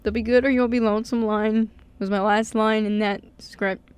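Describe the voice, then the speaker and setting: A woman's voice: a little high, steady tone, moderate pace, a little mellow, clean tone, no room sound. A young woman chatting casually and emotionally on a radio show, with a gushing, dramatic and emphatic way of talking. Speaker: radio show co-host and script reader.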